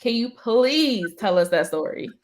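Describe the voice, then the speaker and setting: A woman's voice: on the high side, expressive, measured pace. A woman hosting a casual, conversational interview and putting questions to her guests. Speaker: interviewer, host.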